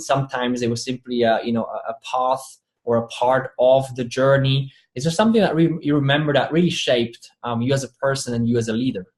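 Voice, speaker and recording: A man hosting a leadership podcast, asking rambling, enthusiastic questions full of fillers. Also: medium pitch. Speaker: podcast host. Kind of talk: interview hosting.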